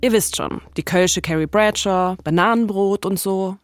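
A woman's voice: medium pitch, normal speed, clean tone, no room sound. A woman hosting a podcast, telling a scripted story in a conversational way. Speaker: podcast host and narrator.

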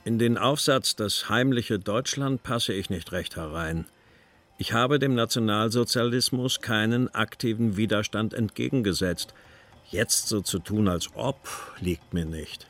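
An actor reading as a sober, earnest male writer, in a deliberate, measured recitation for a literary radio feature. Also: lowish voice, a bit bright. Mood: sober, frank. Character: male writer, sober, earnest, read from his letters and verses. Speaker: quotation reader.

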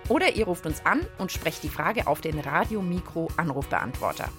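A woman, hosting a children's radio show with lively reporting and chat. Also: on the low side, moderate pace, faint music behind.

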